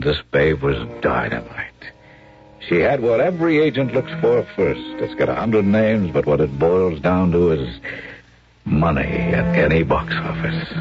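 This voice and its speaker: An actor playing a brash, slangy, persuasive American showbiz agent in a radio drama, with a cocky hard-sell manner. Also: male voice, rumbling low, heavily acted. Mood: smug narrating. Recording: dull, faintly noisy radio broadcast recording.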